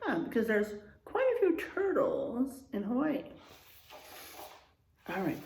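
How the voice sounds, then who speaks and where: A woman teacher reading a children's storybook aloud in an expressive way, adding explanations and checking in with her young listeners. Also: on the high side, slow pace, creaky, a little hiss or hum. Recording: roomy, echoing room sound.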